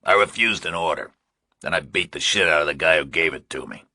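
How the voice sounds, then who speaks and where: A man voicing a bitter, blunt, weary ex-Marine with a gruff delivery in an audiobook thriller. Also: very deep voice, fairly quick, pushed and bright, dry recording, exaggerated voice. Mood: bitter, resigned.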